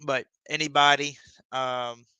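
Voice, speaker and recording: A man's voice: mid-range voice, little pitch movement, slow pace, pushed and bright, smooth, no echo. A man hosting a sports podcast, signing off to viewers in a laid-back, drawling way with many fillers. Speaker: podcast host. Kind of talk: casual sign-off to viewers.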